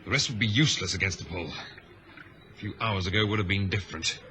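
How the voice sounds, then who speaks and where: An actor playing a dutiful, brisk chief officer aboard a ship in a radio drama, on a noisy vintage recording. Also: male voice, low-pitched, bright, forceful tone. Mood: tense, dutiful. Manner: brisk, deferential to superiors.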